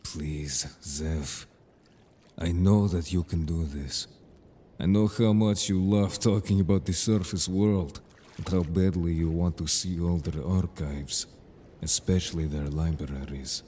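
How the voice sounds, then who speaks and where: A male actor as a protective merfolk man with an extremely low, dark, mellow voice. He speaks in measured, formal phrases with calm assurance, like someone worldly and sure of himself, in an audio drama. There is some noise behind him. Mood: earnest, urging. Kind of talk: audio roleplay drama acting.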